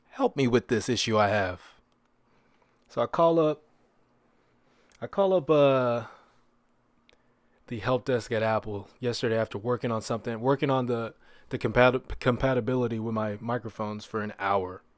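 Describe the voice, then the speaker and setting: A man's voice: medium pitch, a little slow, long pauses, no echo. A man hosting a solo podcast in a casual, conversational way, repeating phrases for emphasis and posing rhetorical questions. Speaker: podcast host.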